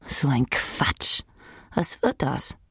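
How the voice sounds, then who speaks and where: A woman's voice: rumbling low, big pitch swings, slow pace, creaky, fairly bright tone, husky, no echo, studio quality. A woman giving a scripted literary narration in the second person, as in an audiobook.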